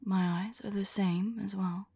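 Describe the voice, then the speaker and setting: A woman performs as a devoted female vampire in an ASMR audio drama. She speaks in slow, breathy whispers with a faint creak, tender and anxious, pausing as she muses to her beloved. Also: medium pitch, fairly even intonation, no echo. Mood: bewildered, flustered. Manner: halting, soft, musing to a loved one.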